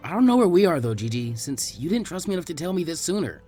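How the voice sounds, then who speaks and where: A man's voice: on the high side, very animated, fast, darkish tone, soft background music, pitched above the performer's own voice. A man voicing a brash, eager young ninja boy in fan fiction, with bold, informal, upbeat delivery. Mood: candid. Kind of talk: acted dialogue in fan fiction audiobook.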